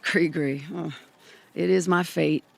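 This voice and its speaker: A slow-drawling exasperated Southern charmer. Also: female voice, low-pitched, fairly even intonation, gravelly, dry recording, exaggerated voice. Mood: gloomy. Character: exasperated, sharp-tongued Southern country charmer woman. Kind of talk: musical audio drama, country singing and dialogue.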